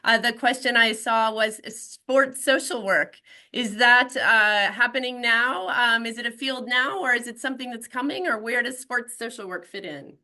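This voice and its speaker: A woman's voice: on the high side, moderate pace, pushed and bright. A woman moderating a webinar over a video call, hesitantly reading out an audience question.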